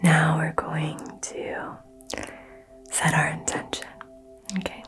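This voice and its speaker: Southern-raised woman, gentle ASMR whisper, flat low delivery, faint music underneath. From American South. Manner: soft, slow, soothing whisper. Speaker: ASMR creator doing a crystal healing.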